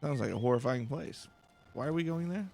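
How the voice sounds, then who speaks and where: A man streams a video game at an easy, casual pace. His voice sits at a medium pitch with plenty of gravelly fry. Soft music plays behind him. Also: brisk. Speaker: let's play streamer. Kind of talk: relaxed game playthrough, reading dialogue aloud with asides.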